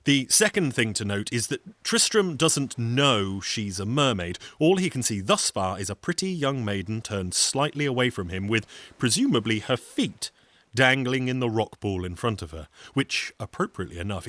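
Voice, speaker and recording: A man's voice: lowish voice, lively intonation, normal speed, fairly bright tone, no echo. A man with a British accent telling a scripted comic story in a wry, chatty way, full of asides. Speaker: storyteller and singer.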